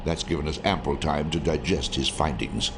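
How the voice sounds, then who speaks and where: An actor playing a senior wartime intelligence chief, authoritative and deliberate, leading a briefing in a video game. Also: male voice, extremely low pitch, creaky, noisy background. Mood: grave, measured.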